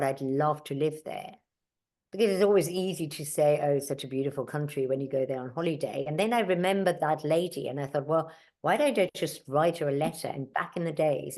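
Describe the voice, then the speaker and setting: A woman's voice: low voice, darkish tone. A middle-aged woman whose first language is German, telling stories in a casual interview with many fillers and asides. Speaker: mountaineer and guest.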